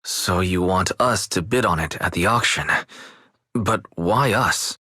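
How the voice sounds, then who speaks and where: A man's voice: sits low, unhurried, bright, forceful tone, husky, full character voice. An actor playing a thoughtful, agreeable young man in a video game, speaking in a casual, mild way. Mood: curious, thoughtful.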